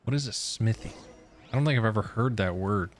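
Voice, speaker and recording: A man's voice: on the low side, fairly quick, soft background music. A man chatting casually and jokingly as he reacts to a game, with slangy asides. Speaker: gaming streamer.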